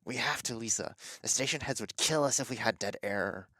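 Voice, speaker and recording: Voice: mid-range voice, fairly quick, sharp tone, dry recording, studio quality. An actor playing a harried, exasperated male radio host in an audio drama, stammering and strained. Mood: weary, apologetic.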